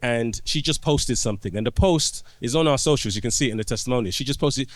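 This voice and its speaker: A man, a doctor guest on a podcast, explaining his work through anecdotes in relaxed conversation and often restarting his phrases. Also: medium pitch, fast, no room sound.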